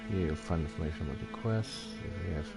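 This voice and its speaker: A man doing casual gameplay commentary, halting as he thinks aloud and reads on-screen text. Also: low-pitched, creaky. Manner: halting, musing, puzzled asides. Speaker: gaming video host. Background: game music.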